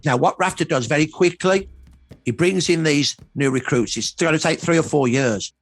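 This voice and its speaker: Husky male history expert explaining emphatically. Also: a little high, moderate pace, no room sound, faint music behind. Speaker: historian interviewed as documentary expert. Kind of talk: explanatory documentary interview.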